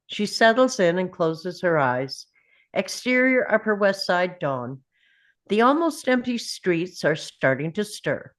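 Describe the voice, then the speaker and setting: A woman reading screenplay stage directions as scripted narration at a table read. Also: fairly low pitch.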